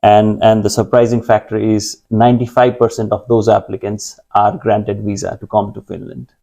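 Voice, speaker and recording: A man's voice: on the low side, level tone, moderate pace, gravelly, dark tone. A man from Nepal in his thirties, a guest speaking in a conversational interview, hesitant with many fillers and restarts.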